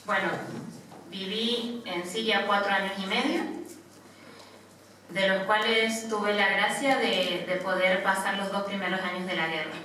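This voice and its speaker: An Argentine woman in her thirties, a missionary nun, gives an informal personal testimony in a halting manner, often adding small tag questions. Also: mid-range voice, sharp tone. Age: 30s. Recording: echoey room, distant mic, faint noise.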